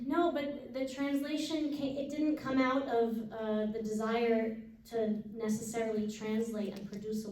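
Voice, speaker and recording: Woman, translator at a talk, halting and reflective, mellow tone, distant mic in a roomy hall. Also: a little high, a little hiss or hum. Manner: halting, thoughtful, many restarts. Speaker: translator at a book event. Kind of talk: answering audience questions. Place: event hall. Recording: echoey hall, distant mic, faint murmur.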